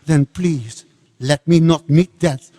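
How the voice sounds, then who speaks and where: A man's voice: a little high, takes it slowly, warm tone. A man playing an ambitious demon king in a devotional dramatic reading, pleading formally and emphatically for power. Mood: reverent pleading. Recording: hall with long reverb.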